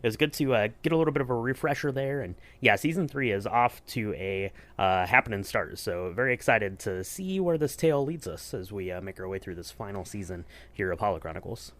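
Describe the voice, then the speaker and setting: A man's voice: on the low side, animated, brisk, few pauses, a bit bright. A man hosting a podcast in a casual chat, feeling his way through questions with upbeat, approving remarks. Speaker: podcast host.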